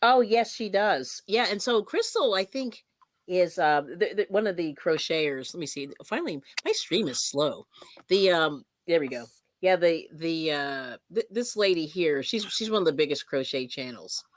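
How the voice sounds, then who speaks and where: A woman's voice: lowish voice, expressive, moderate pace, no room sound, clean studio sound. A chatty woman from the Pittsburgh area, hosting a livestream and talking casually with frequent laughs. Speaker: livestream host.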